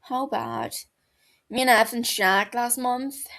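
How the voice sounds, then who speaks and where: A woman voicing an agitated, defensive young man in an audiobook, halting, heated and sharp. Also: on the high side, very animated, voice pushed up, full character voice. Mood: guilty.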